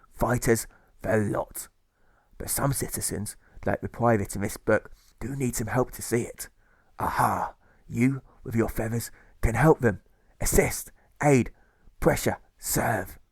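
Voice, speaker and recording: A man's voice: mid-range voice, gravelly, no echo. A man voicing a bombastic, maniacal admiral who declaims and barks exclamations in a rhyming audiobook. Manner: declamatory, barking exclamations, demented cackles. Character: bombastic, maniacal male admiral rallying for war.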